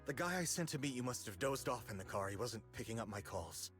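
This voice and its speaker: A man voicing a smooth-talking, evasive male scientist villain in a video game. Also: mid-range voice, expressive, brisk, a bit bright, faint music behind, close to an everyday voice. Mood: feigned concern.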